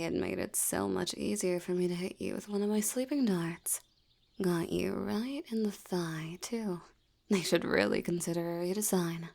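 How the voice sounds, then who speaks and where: A woman's voice: lowish voice, vocal fry, darkish tone, full character voice. A woman voicing a seductive, teasing female vampire in an ASMR audio roleplay, often dropping into a whisper.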